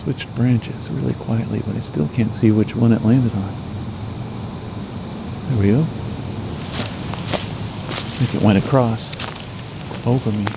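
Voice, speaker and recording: Hushed man birding outdoors at dusk. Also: steady tone, unhurried, gravelly, pauses often. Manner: quiet, low-key, searching. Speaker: birder making a field recording. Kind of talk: hushed running commentary. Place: outdoors in woods at twilight. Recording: noisy outdoor field recording, distant sirens.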